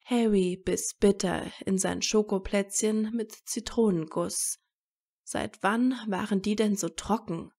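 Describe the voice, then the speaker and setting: A woman narrates a scripted story at an unhurried pace. Her voice is mellow and mid-pitched, with noticeable fry. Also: steady tone.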